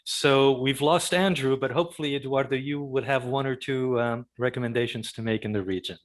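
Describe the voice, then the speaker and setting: A man's voice: medium pitch, fairly even intonation, fairly quick. A man hosting an interview show, politely wrapping up and asking his guest for a recommendation. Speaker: podcast host. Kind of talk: closing interview remarks.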